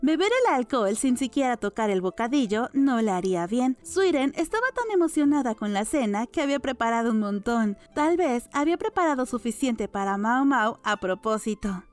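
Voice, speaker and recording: A female narrator with a moderately high voice reads a novel aloud. The delivery is scripted audiobook storytelling, with gentle music underneath. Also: animated, moderate pace, hardly any pauses.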